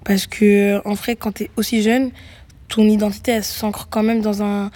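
A young woman who grew up in Greece, a musician guest answering interview questions thoughtfully, with many pauses and restarts. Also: mid-range voice, flat delivery, warm tone. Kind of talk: reflective radio interview answers.

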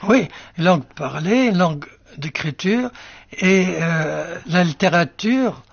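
An older male writer whose first language is Arabic, musing slowly in an interview with many fillers and restarts. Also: on the high side, expressive, gravelly, slightly rough, dry recording, lo-fi sound. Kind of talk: reflective interview answers.

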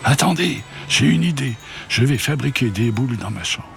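A man's voice: on the low side, big pitch swings, moderate pace, a bit bright, faint music behind, voice pushed up. Man voicing curious little girl Rosalie. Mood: curious, eager.

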